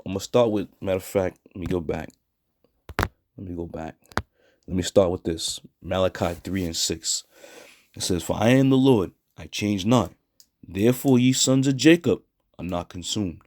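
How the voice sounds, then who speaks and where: A hoarse man teaching scripture in a blunt, scornful way, with a frequent "all right?". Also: deep voice, moderate pace, pauses often, a little mellow, dry recording. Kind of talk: street preaching scripture lesson.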